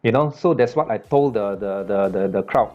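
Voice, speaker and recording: A man from Malaysia talking casually on a business panel, repeating words and filling pauses with "uh" as he goes. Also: on the low side, muffled recording. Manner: halting, many repeated words and fillers.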